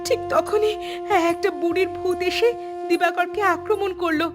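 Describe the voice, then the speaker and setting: A woman's voice: extremely high pitch, steady tone, normal speed, loud music behind the voice. A woman voicing a frightened, earnest young village wife in a horror cartoon. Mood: anxious.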